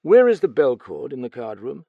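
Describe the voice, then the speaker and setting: Casting: a cool detective, measured and probing, dry and close, as a male narrator voices him. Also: mid-range voice, very animated, creaky, no room sound, phone-quality sound, voice pushed up. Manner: measured, questioning. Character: calm, probing male investigator.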